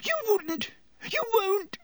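A man voicing a terrified, cowardly middle-aged man in an audiobook, with a thin squeaky voice and gasping, whining, pleading delivery. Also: very animated, very slow, a bit bright, no room sound, studio quality, exaggerated voice. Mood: desperate begging. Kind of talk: audiobook character voice.

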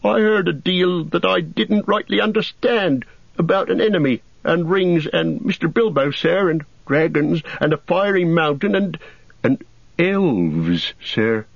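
A high, flustered young hobbit gardener. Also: male voice, animated, unhurried, gravelly, no room sound, highs cut off, heavily acted. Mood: eager earnest. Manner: humble, rustic, flustered. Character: eager, fretful young male hobbit gardener. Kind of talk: audiobook character voice.

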